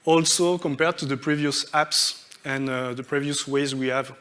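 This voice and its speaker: A man giving a conference talk on stage, halting with many fillers and restarts as he lists his points. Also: mid-range voice, fairly even intonation, fairly bright tone, clear, resonant tone. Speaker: conference speaker.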